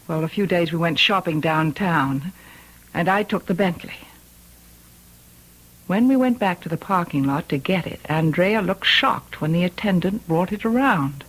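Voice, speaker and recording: A woman acting as a composed but worried confidante in an old-time radio mystery, speaking earnestly and deliberately. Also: fairly low pitch, husky, rough recording. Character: composed, worried woman confiding about her unstable housemate. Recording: old radio drama recording.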